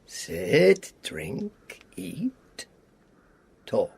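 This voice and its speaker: A weary Eastern Bloc spy in a radio play, his fairly high voice swinging widely in pitch. He speaks in wry, deliberate, clipped phrases, a battered survivor with affection under the sarcasm. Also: huge pitch swings, gravelly, a little mellow, husky, heavily acted. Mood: wry, hospitable. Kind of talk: radio drama acting.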